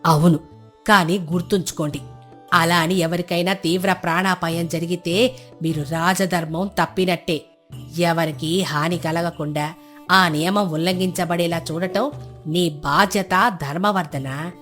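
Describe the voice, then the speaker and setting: A woman acting a serene, wise sage in a folk tale, giving counsel in a measured, authoritative way. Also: on the low side, fairly even intonation, a bit bright, faint music behind. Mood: instructive, solemn.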